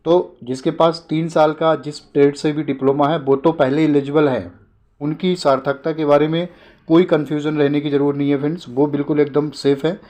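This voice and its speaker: A man's voice: a little high, level tone, a little fast, a little mellow. A man hosting an informal news explainer, repeating points and posing short questions that he answers himself. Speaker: host of an exam updates channel.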